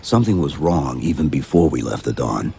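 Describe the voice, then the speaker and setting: A man's voice: very deep voice, a little fast, gravelly, a little hiss or hum, exaggerated voice. An actor playing a stoic, loyal male supersoldier in a cinematic video game, speaking tersely and with determination. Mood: concerned, insistent. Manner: terse, flat-toned commands, stoic.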